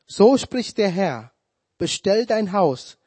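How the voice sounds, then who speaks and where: A man whose first language is Tamil, preaching a sermon in a slow, deliberate way with touches of humor. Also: sits high, very animated, a little mellow, breathy edge, no room sound.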